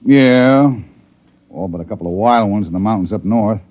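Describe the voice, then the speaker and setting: An actor playing a gruff, suspicious miner father on a Mars frontier in old-time radio drama, with a brusque, folksy way of talking. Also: fairly low pitch, moderate pace, a little mellow, highs cut off, natural voice. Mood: weary, gruff. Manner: brusque, commanding. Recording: vintage radio drama recording.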